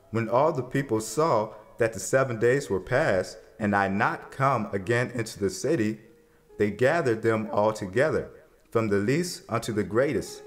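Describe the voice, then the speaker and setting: A man playing an earnest prophet who narrates in a measured, solemn way in a dramatized scripture reading. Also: medium pitch, close to an everyday voice. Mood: solemn, narrating. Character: earnest male prophet narrating his encounter with his people. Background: music.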